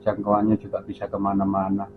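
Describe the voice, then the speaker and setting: A man's voice: fairly low pitch, completely flat delivery, fairly quick, narrow, tinny audio, over music. A man chatting casually as a ham radio operator over a repeater, with call signs and radio jargon.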